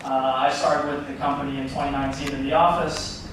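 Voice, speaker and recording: A man's voice: medium pitch, level tone, fast talker. A man with an American accent briefly introducing himself to an audience as a ship's officer. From Boston, United States. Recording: distant, very echoey noisy room.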